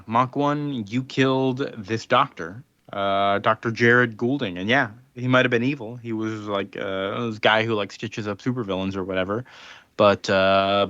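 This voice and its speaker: A man's voice: lowish voice, pushed and bright, dry recording, lo-fi sound. A man hosting a podcast, recapping in casual chat and loosely acting out dialogue in an informal way. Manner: informal, acts out characters' dialogue in loose paraphrase. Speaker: podcast host.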